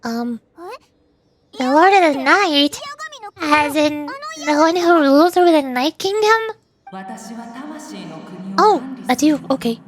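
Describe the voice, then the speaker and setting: A woman's voice: high voice, big pitch swings, slow pace, pauses often, slight background noise. A woman streamer reacting casually to a game, thinking aloud in a puzzled way. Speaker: vtuber streamer. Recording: game music behind voice. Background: video game music.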